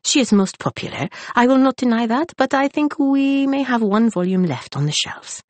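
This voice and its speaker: A man's voice: very high voice, lively intonation, moderate pace, dry recording, voice pushed up. A woman voicing a small, effusive foreign-born librarian man with a slight Spanish-accented lilt and lisp. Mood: reluctant.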